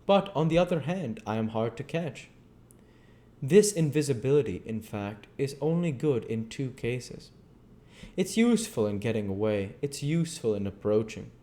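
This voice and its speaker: A man's voice: medium pitch, very animated, normal speed, warm tone, voice pushed up, natural voice. A man voicing a fanatical, megalomaniac schemer in a storybook reading, with emphatic, declamatory delivery. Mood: scheming, intense.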